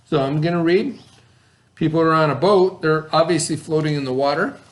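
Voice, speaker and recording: A man's voice: a little high, brisk. A man teaching a class, reading a story aloud and stopping to explain words and ask the children questions. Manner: explains and asks the class questions between passages.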